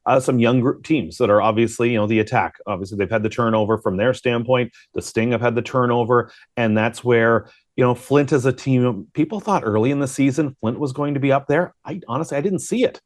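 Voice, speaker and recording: A man's voice: medium pitch, brisk, hardly any pauses, no room sound, studio quality. A man giving conversational hockey analysis as a guest broadcaster, often posing questions to himself. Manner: thinks aloud with rhetorical questions.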